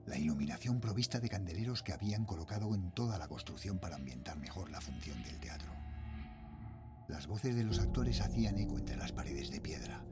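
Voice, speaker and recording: A man playing a frightened father and night guard, telling his horror story in a Spain accent with tense, dramatic delivery and hushed phrase endings. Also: deep voice. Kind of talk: scripted first-person horror narration, audio drama.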